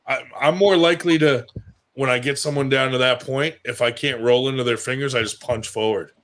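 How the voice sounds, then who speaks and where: A man's voice: mid-range voice, fairly even intonation, brisk, fairly bright tone. Laid-back man chatting about arm wrestling. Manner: loose, rambling, swears freely. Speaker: arm wrestler on a livestream show. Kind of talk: casual chat.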